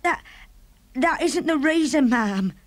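A flustered middle-aged maid, voiced by a woman reading a storybook, respectful yet quick to fret. Her voice sits somewhat high and is recorded dry and close. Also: animated, dry recording, lightly acted. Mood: shaken. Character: middle-aged female maid, nervous and deferential.

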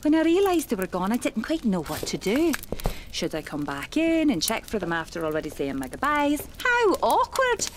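A woman playing a fluttery, awkward and quietly sinister medium who muses theatrically to herself in an audio horror drama. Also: fairly high pitch, wildly swooping pitch, normal speed, a little hiss or hum. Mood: fussy, awkward. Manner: theatrical, exclamatory, musing to herself.

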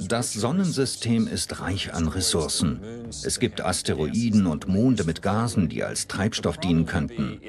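A man's voice: low voice, very animated, a little slow, heavily acted. A man playing a matter-of-fact scientist, explaining things in a documentary voice-over. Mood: matter-of-fact, explanatory.

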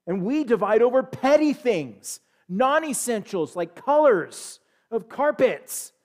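A man in his thirties with an American accent, preaching a sermon in a church sanctuary on a headset mic, swinging from loud emphatic peaks to quiet asides. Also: very high voice, sing-song, slow pace. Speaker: pastor. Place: church sanctuary, standing at a pulpit.

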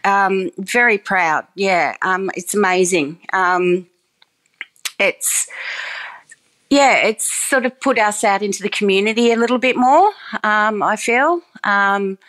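A woman's voice: medium pitch, slow pace, fairly bright tone. A woman speaking casually in an interview, chatty, with many ums and restarts. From Australia. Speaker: farm program coordinator.

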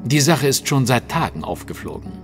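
An actor playing a confident, sardonic Victorian detective in a German audio drama, polite, measured and ironic. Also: male voice, medium pitch, very animated, faint music behind, natural voice. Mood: dry.

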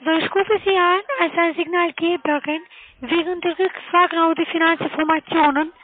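A woman with a foreign accent and non-native, halting German, giving a sales pitch as a cold caller over the phone. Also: fairly even intonation. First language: not German. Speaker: cold caller selling online investments. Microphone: telephone call. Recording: muffled narrowband phone line.

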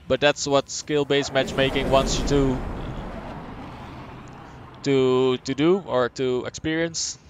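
A young man from the Netherlands with a Dutch accent, chatting casually as he streams a game, answering chat and thinking out loud. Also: mid-range voice, little pitch movement, slow pace, background noise. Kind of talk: live gameplay commentary, reading chat.

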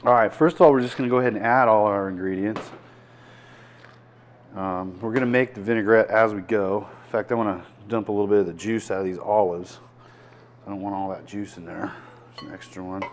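Casting: chef host in his 60s, lowish voice, relaxed step-by-step demo patter, lapel mic in a restaurant. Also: a little fast, a little hiss or hum. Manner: relaxed, chatty, explains as he works. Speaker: chef and cooking show host. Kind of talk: casual cooking demonstration. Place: restaurant dining room, indoors.

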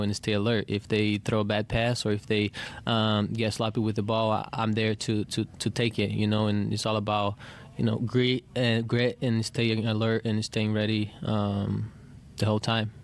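A man in his thirties answering reporters after a game at a desk mic, halting with many fillers and restarts. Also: fairly low pitch, flat delivery. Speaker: basketball player at press conference. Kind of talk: post-game press answers. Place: arena press room.

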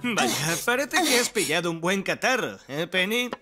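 A man's voice: high voice, sing-song, moderate pace, sharp tone, faint music behind, full character voice. An actor playing a friendly, eager young fireman sidekick in a children's cartoon dub. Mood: concerned. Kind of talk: dubbed children's cartoon acting.

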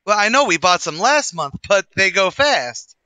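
A man's voice: high voice, big pitch swings, normal speed, sharp tone, clear, resonant tone, no echo, full character voice. An actor playing a brash, boastful male tavern manager, in a wisecracking 1940s radio comedy style. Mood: breezy.

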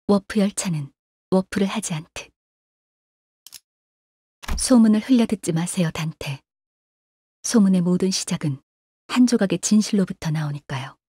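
An actress playing a composed, analytical young female agent in a video game, speaking formally and calmly in a soft, breathy voice. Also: mid-range voice, dry recording, lightly acted. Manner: calm, detached, formal, refers to herself by name. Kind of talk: scripted game character voice lines.

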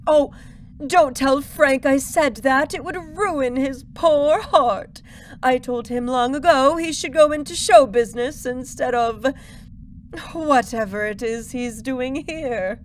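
High, slow-talking theatrical diva, haughty and gossipy, comic audio drama. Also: female voice, slight background noise. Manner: theatrical, drawn-out delivery. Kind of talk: comedic audio drama acting.

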